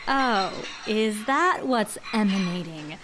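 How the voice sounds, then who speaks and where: An actress playing a sardonic diner waitress in an audio drama, teasing and skeptical but fond. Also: female voice, medium pitch, lively intonation, slow, over music, poor audio. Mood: wry, teasing.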